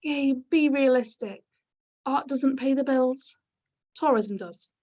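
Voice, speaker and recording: Abrupt, jaunty high-voiced manager played by a woman. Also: mellow. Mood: brisk, breezy. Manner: brisk, breezily dismissive. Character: abrupt yet jaunty female hotel HR manager, fifties. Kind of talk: script table-read acting.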